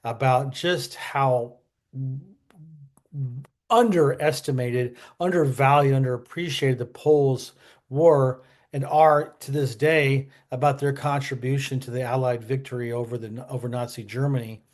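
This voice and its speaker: A man's voice: mid-range voice, fairly even intonation, unhurried, darkish tone. A man giving casual history commentary as a vlog host, colloquial and opinionated, often wandering into asides.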